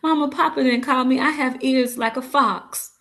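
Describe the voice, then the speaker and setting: An actress playing an earnest young daughter from a village in a staged drama, halting and repeating her words. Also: high-pitched, fairly bright tone. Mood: playful. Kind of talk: staged script read of a drama.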